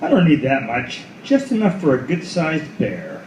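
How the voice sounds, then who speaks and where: A hard-boiled, cynical detective, acted by a man in a live noir radio play. The voice is fairly high and swings widely, with slow, drawn-out theatrical phrasing, in an echoey room. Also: huge pitch swings, darkish tone, a little hiss or hum. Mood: weary, wry. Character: foul-mouthed hard-boiled last detective at world's end. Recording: echoey room, far from mic, music behind.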